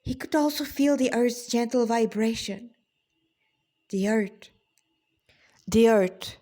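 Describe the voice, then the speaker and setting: A woman, an author reading her own story in a dramatic, deliberate way with informal asides. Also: on the high side, dark tone.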